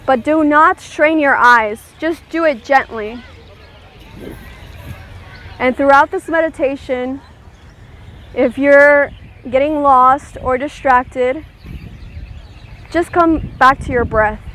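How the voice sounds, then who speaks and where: A woman leading a guided meditation, giving gentle, soothing instructions and checking in with her listeners. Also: sits high, slow, pauses often.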